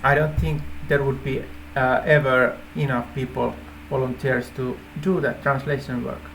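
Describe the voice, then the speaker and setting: A native Finnish man speaking English with a Finnish accent, informally explaining an idea in halting phrases with repeated words. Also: medium pitch, flat delivery, a bit bright, a little hiss or hum, low-quality recording. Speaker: app developer presenting a feature idea.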